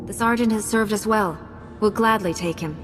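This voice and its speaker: A woman's voice: mid-range voice, moderate pace, music in the background, natural voice. An actress playing a confident, resolute female Jedi Knight in a video game, speaking in a cool, assured way. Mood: composed.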